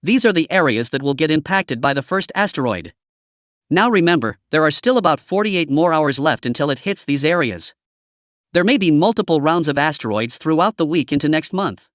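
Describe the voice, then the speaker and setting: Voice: medium pitch, expressive, a little fast, a bit bright, dry recording, studio quality. A presenter improvising dramatic mock breaking-news commentary for a fictional emergency scenario.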